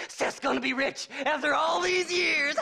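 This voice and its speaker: A man's voice: very high-pitched, a little slow, noisy background, full character voice. A man voicing a deranged, greedy grave-robbing treasure hunter in a video game, with a rural Southern American accent and a manic, erratic, stammering manner. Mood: manic glee. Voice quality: gravelly, cackling. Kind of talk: video game character acting.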